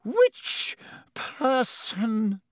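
A man's voice: high-pitched, big pitch swings, takes it slowly, no echo, studio quality, pitched above the performer's own voice, full character voice. A man voicing a stern older woman schoolteacher, outraged and formal, with clipped scolding delivery for a storybook. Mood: shaking fury.

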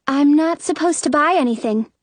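Woman voicing a high, hesitant teenage girl. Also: normal speed, no room sound, natural voice. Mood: politely refusing. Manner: hesitant, polite. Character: shy, polite teenage girl, sheltered and gentle.

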